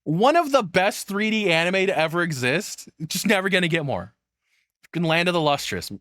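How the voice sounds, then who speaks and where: A man's voice: sits high, expressive, normal speed, fairly bright tone, no echo. A man doing casual, rambling reaction commentary as a streamer, informal, profane and opinionated, speaking into a close microphone.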